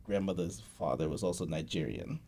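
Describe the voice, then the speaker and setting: A man from Boston, a guest on a conversation podcast, telling his story in a relaxed way with many fillers and frequent laughs. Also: low-pitched, fairly quick. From USA, Boston. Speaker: guest, founder of a creative studio.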